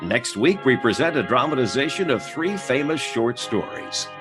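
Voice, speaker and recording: An actor playing a formal radio announcer delivering a network sign-off. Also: male voice, mid-range voice, very animated, moderate pace, natural voice. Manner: formal, declamatory. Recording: music playing under the voice.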